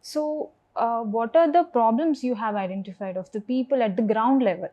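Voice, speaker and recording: A woman's voice: fairly high pitch, normal speed, dull audio. A woman, politely asking interview questions and addressing her guest as sir. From India.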